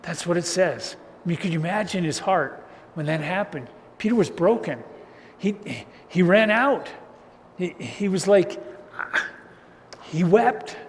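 Loud, high-voiced man preaching in a hall. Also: a little slow. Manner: loose, conversational preaching with chuckles and restarts. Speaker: pastor. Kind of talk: casual sermon. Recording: reverberant hall, faint ambient noise.

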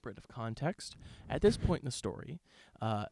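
A man co-hosting a podcast, chatting casually about reviews in measured, hesitant phrases. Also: medium pitch, big pitch swings, gravelly, darkish tone, slight background noise. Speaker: podcast co-host.

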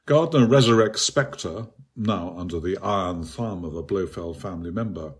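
A man reads his own literary essay aloud in a measured, precise, bookish way. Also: low voice, breathy edge. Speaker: author reading own article. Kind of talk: scripted literary essay narration.

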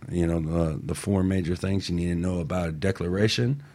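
A low-pitched man speaks as a co-host on motivational talk radio. He makes his points emphatically, in firm declarative statements, and repeats the key ones. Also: fairly even intonation, brisk, dark tone.

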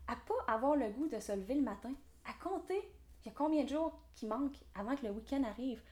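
A woman's voice: high-pitched, expressive, darkish tone. A woman in her twenties with a Québécois French accent, a guest speaking enthusiastically and motivationally in an interview. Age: 20s. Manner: enthusiastic, motivational, fast talking. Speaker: guest, real estate developer. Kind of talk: podcast interview.

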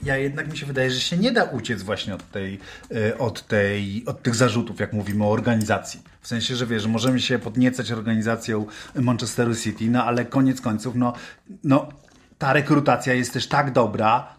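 A man on a football podcast panel, thinking aloud in a halting, casual way with many repeated words. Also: mid-range voice, slight background noise.

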